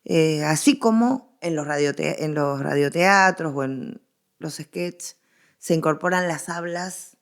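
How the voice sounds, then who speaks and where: A woman's voice: deep voice, slow, creaky, clear, resonant tone. A middle-aged woman with a Rioplatense Argentine accent, explaining as an expert guest in a conversational interview, emphatic and given to digressions.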